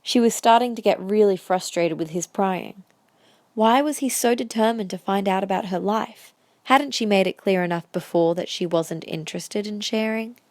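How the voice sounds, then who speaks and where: A woman narrates a fan-made audio drama. Her voice sits at an average pitch as she reads the scripted story. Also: moderate pace.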